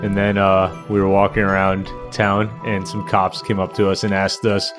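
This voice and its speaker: A man chatting casually in a hesitant, low-key way. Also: completely flat delivery, normal speed. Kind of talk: casual chat. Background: ambient Halloween music.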